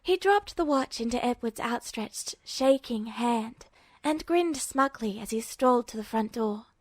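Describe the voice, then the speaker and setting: A woman's voice: fairly high pitch, expressive, unhurried, no echo. A woman narrating a story from a script as an audiobook-style reader. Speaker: podfic narrator.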